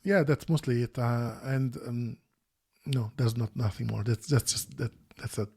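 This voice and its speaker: Mellow-voiced man, halting. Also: medium pitch, gravelly, husky. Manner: thoughtful, halting, many restarts and fillers. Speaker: interview guest, former search quality analyst. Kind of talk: casual video call interview.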